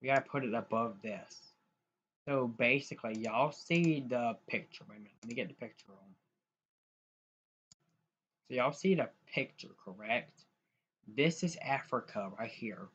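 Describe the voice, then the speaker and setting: A man's voice: mid-range voice, unhurried, long pauses, fairly bright tone, breathy edge. A young man from the United States chatting casually to viewers while sharing his screen, rambling and restarting often. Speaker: YouTuber. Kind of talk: casual screen-share vlog talk. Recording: dull lo-fi mic with faint noise.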